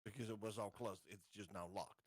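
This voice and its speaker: A man's voice: lowish voice, steady tone, fairly quick, dry recording. Man playing a tabletop game, dry sound. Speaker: player in a tabletop roleplaying game. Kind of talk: casual game chat.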